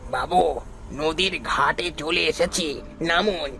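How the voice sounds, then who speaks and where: Male actor as old ghost carter, cartoon; bright, slightly high voice; slow, quiet menace. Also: gravelly, faint music behind, heavily acted, low-quality recording. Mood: ominous. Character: eerie old male cart driver ghost, calm and knowing. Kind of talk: cartoon voice acting.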